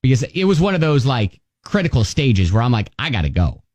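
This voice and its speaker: Animated man telling comic stories. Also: mid-range voice, brisk, dry recording. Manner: animated, acts out his own thoughts in dialogue. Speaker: radio show co-host. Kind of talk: casual chat, comic personal storytelling.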